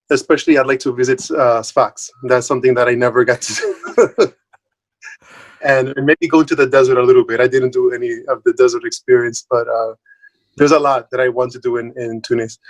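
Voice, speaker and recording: A man's voice: medium pitch, low-quality recording. Friendly man from Puerto Rico. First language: Spanish. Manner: friendly, loose, many fillers and restarts. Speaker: guest speaker. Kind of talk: informal talk. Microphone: online video call.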